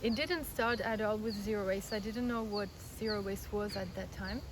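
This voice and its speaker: A woman in her thirties from Belgium, raised in France, calmly explaining her views in an interview, earnest and step by step with frequent restarts. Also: medium pitch, moderate pace. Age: 30s. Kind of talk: casual explanatory interview answers. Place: outdoors in India. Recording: outdoor recording with clear background noise.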